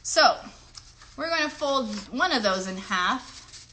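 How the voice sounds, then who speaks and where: A woman with a high, bright voice leads a craft demonstration for children. She keeps directions simple, encourages, and checks in with questions, with faint noise behind her. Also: lively intonation, takes it slowly. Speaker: children's craft show host.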